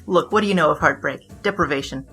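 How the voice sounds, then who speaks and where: Flamboyant bandit queen, fast talker, bright edge, theatrical and grandiose, audio drama. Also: sits low, soft background music. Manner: theatrical, grandiose, quick-witted. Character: boastful flamboyant female bandit king.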